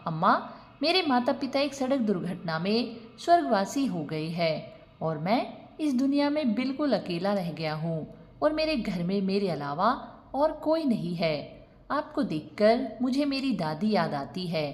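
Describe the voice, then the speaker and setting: A woman voicing a kind, lonely young man in a storybook tale, earnest and gently pleading. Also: medium pitch, sing-song, a little slow. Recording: long reverb on the voice.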